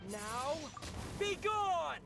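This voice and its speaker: A man's voice: very high voice, big pitch swings, extremely slow, vocal fry, heavily acted. An actor playing a defiant young male warrior in a fantasy game, taunting and theatrical. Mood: taunting, fierce. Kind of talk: dramatic video game voice acting. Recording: game audio under loud music.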